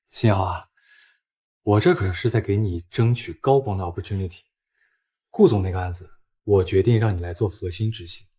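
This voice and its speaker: An actor playing a slick, scheming male office planner, smooth-talking and full of jargon, in an animated comedy drama. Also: on the low side, normal speed, long pauses. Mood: coaxing.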